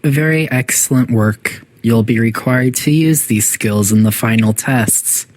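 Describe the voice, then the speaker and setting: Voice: mid-range voice, unhurried, noisy background, close to an everyday voice. A performer voicing a polite but menacing artificial intelligence that runs test chambers, making formal, clinical, passive-aggressive announcements in a video game. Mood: clinical, encouraging. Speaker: facility announcer.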